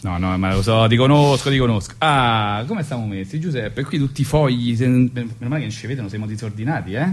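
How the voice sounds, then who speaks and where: A man's voice: medium pitch, fairly quick, few pauses. A man with a Roman accent hosting a radio show, telling comic stories in an ironic, rambling way with lots of asides. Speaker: radio host. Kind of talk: comic storytelling monologue. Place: radio studio.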